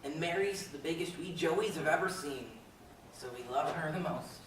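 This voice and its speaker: A man's voice: fairly high pitch, moderate pace, lightly acted. A man playing a downtrodden, admiring circus clown in a theatrical monologue, from far off in an echoey space. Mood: admiring, fervent. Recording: echoey stage, distant, faint noise.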